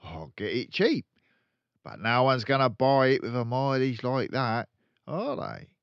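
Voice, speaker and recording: A man voicing a rude, boastful, crooked car-dealer father in a storybook, loud and sneering. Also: medium pitch, animated, unhurried, gravelly, clean tone, no echo, lo-fi sound, voice pushed up. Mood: cocky explaining. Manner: loud bragging, sneering, colloquial.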